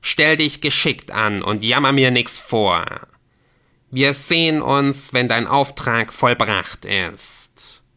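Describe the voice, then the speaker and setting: A man voicing a menacing hooded messenger from a dark game world, speaking in a slow, commanding, portentous way for an audiobook. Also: mid-range voice, animated, pitched above the performer's own voice, full character voice. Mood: threatening. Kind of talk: dramatic audiobook character voice.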